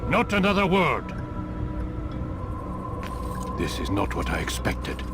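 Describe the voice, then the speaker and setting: An actor playing a stern, commanding old master of assassins in a video game cutscene, measured and imperious. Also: male voice, mid-range voice, huge pitch swings. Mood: angry. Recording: loud music behind.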